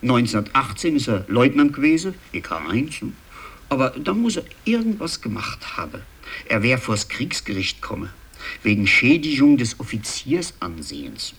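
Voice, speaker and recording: A husky excitable old-records clerk, rambles and halts, pitch jumps all over, radio play. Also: male voice, full character voice. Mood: animated, gossipy. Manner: rambling, halting, insistent, digressive. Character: rambling, excitable male land registry clerk and genealogy buff. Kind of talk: conversational radio play acting.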